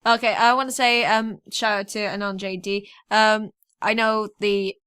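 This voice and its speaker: A woman's voice: medium pitch, little pitch movement, normal speed, a bit bright, clear, resonant tone. A woman hosting a podcast, chatting casually through notices and sign-offs. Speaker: podcast host.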